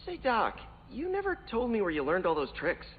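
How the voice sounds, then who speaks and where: An actor playing a cheeky, eager young superhero in a video game, chatty, playful and full of questions. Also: male voice, sits high, huge pitch swings, moderate pace, narrow, tinny audio, heavy background noise. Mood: curious. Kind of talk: video game hero banter.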